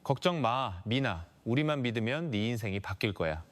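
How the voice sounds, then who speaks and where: A smooth, calculating recruiter in his thirties from an audio crime story, read by a male narrator. He coaxes with overconfident ease, in a lively, varied voice. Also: mid-range voice, moderate pace, pitched below the performer's own voice, close to an everyday voice. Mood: smooth, coaxing. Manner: smooth, confident, coaxing.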